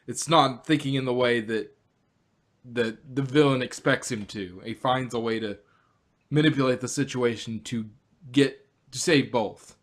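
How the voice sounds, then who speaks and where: A man co-hosting a relaxed review conversation. He explains references at length, often restarting his sentences. His pitch is ordinary for a man. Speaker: podcast co-host. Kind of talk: casual review chat.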